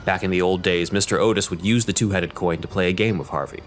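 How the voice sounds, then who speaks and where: A man narrating an audio drama in a measured, scripted storytelling manner. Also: sits low, fairly even intonation, slight background noise.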